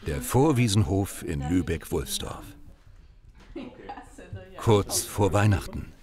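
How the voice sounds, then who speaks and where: Male doc narrator, very low voice with a lively melody, calm and measured, music bed under him. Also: crowd noise. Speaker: documentary narrator. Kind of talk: scripted narration.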